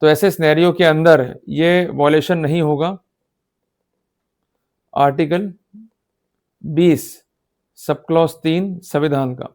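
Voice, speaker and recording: A man's voice: a little high, fairly even intonation, slow pace, pauses often, a little mellow. A man lecturing in an explanatory style, emphatic and exhortative, often checking that his listeners are following. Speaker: law lecturer. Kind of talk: explanatory lecture mixing Hindi with English legal terms.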